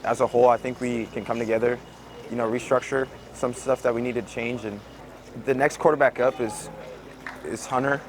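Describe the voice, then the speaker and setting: Casual young male athlete, middle pitch, faint street noise. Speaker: student athlete. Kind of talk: interview.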